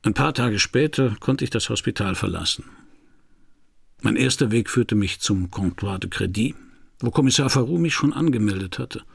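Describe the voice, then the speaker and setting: A man's voice: fairly low pitch, animated, moderate pace, gravelly, breathy edge, dry recording, exaggerated voice. An actor playing a hardboiled private detective who tells his own story in dry, laconic radio drama narration. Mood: dry, reflective. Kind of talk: first-person scripted radio drama narration. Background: music.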